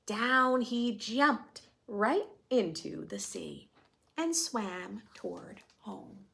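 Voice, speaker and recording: Female children's story reader, very animated intonation, creaky touches, expressive narration mixed with conversational asides to little listeners. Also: medium pitch, extremely slow. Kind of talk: read-aloud storytelling for young children with chatty asides.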